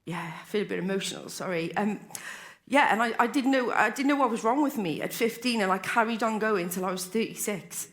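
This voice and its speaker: A woman's voice: medium pitch, a little fast, a bit bright. Candid Welsh woman in her forties. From Wales. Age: 40s. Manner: candid, rambling, restarts often. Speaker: recovery meeting speaker. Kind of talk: personal share at a convention. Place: convention hall stage.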